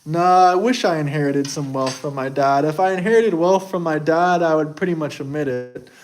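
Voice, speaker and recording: A man's voice: fairly high pitch, normal speed. Young man rambling to his stream chat. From United States. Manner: boastful, defensive, rambling. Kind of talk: casual live chat.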